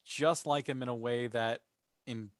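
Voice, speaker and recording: A man's voice: mid-range voice, fairly even intonation, a little slow, no room sound, clean studio sound. A man hosting a casual panel chat, talking through his points analytically with many asides. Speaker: podcast host. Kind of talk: casual panel discussion of a TV series.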